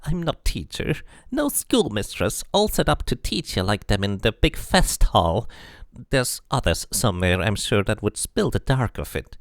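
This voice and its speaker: A man's voice: fairly low pitch, big pitch swings, moderate pace, vocal fry, no echo, studio quality. A man voicing a crotchety, suspicious old woman, a hedge witch in a fantasy game, who scolds evasively in rustic dialect. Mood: scornful, dismissive. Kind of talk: fantasy game character reading.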